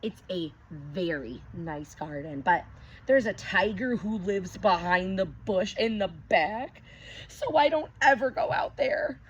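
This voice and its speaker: A woman teacher reading a picture book aloud to young children, expressive, stopping to ask them questions. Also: medium pitch, slow pace, husky, dull audio.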